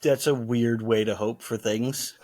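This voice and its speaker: A man's voice: mid-range voice, fairly even intonation, measured pace, a little mellow, no room sound. A man co-hosting a casual gaming podcast, swearing freely and joking around with his co-host. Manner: swears freely, acts out movie scenes in silly voices.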